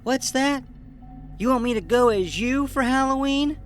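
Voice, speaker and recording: High-pitched, defiant young country woman. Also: unhurried, soft background music. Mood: defiant, mocking. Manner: defiant, shouting. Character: bold, angry young country woman, sharp-tongued. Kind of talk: audio horror drama acting.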